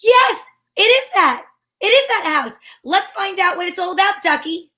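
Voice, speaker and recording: A woman's voice: very high voice, expressive, moderate pace, sharp tone, voice pushed up, heavily acted. A woman playing a breezy female private investigator in an amateur reading of an old-time radio play. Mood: alarmed.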